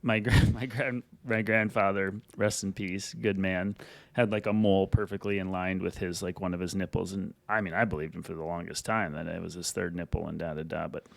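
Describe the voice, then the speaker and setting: Joking, chatty man, low and dry. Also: flat delivery, brisk, vocal fry, dry recording. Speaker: podcast co-host. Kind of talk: casual chat.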